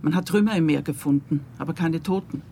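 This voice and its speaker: A woman's voice: low-pitched, a little fast, warm tone. A composed middle-aged mother in a radio play, speaking. She is reserved and polite, choosing her words with care over faint background noise. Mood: sober, composed.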